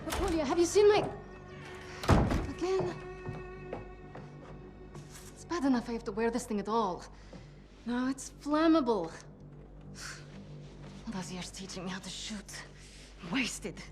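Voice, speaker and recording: Feisty young soldier girl, highish and very animated, game music behind. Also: measured pace, pauses often. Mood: exasperated. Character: feisty, exasperated young female Soviet sniper. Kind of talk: cinematic video game acting.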